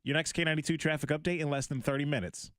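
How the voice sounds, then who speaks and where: A man in his thirties co-hosting morning radio in a small studio, reading traffic and weather with dry, deadpan ribbing. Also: a little high, very fast, dry recording. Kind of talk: casual radio banter and scripted reads. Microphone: studio boom mic with headphones.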